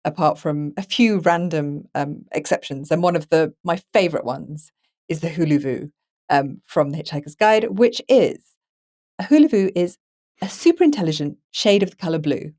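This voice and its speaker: A woman's voice: sits low, animated, normal speed, a little mellow. A woman hosting a podcast, talking casually and with enthusiasm. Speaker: podcast host. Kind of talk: casual discussion with passages read aloud.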